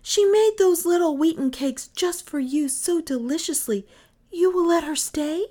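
A woman voicing two eager young children in a storybook reading, high and exclamatory. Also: measured pace, mellow. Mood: pleading.

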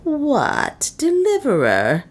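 A woman's voice: sits high, wildly swooping pitch, drawn-out words, pitched above the performer's own voice, full character voice. A woman acting a bitter, accusing serving woman. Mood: mocking. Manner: satirical, glaring, accusing. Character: bitter, sardonic, resentful native serving woman. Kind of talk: audiobook character acting.

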